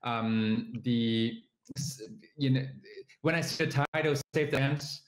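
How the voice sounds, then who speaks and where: A man's voice: mid-range voice, slow. A man from southern China with a Chinese accent, an entrepreneur guest speaking hesitantly with many fillers. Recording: roomy sound.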